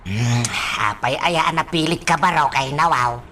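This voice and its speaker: A woman's voice: sits low, unhurried, sharp tone, a little hiss or hum. A woman acting a folksy older character in a radio drama, drawling and chuckling, with a repeated catchphrase. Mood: hesitant, fretting.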